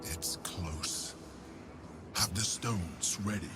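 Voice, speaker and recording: A man's voice: deep voice, very slow. An actor playing a tense, resolute male ally in a video game, giving terse, urgent commands. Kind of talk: dramatic video game acting. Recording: loud music over the voice.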